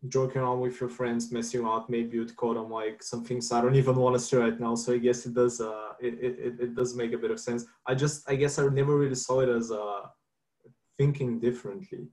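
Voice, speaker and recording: Hesitant Bulgarian man, mid-pitched. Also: flat delivery, a little fast, darkish tone. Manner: thoughtful, hesitant, stammers on restarts. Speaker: interview guest. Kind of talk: casual video-call interview.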